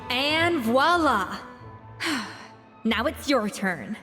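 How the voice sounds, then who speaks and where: A young female master chef in a video game, confident and hard to please. She instructs precisely in a somewhat high voice. There is a long reverb tail and faint music behind. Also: lively intonation, drawn-out words. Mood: pleased, judging.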